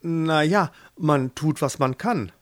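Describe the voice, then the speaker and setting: A man's voice: a little high, slow pace, dry recording, clean studio sound. A man voicing a timid, humble fisherman husband in a fairy tale, hesitant and pleading. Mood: humbly eager.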